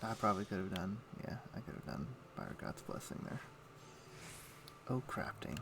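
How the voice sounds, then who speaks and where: A man's voice: fairly low pitch, normal speed, creaky. A man livestreaming a game, chatting casually and muttering to himself as he plays. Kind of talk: casual gaming stream chat. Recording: steady background noise.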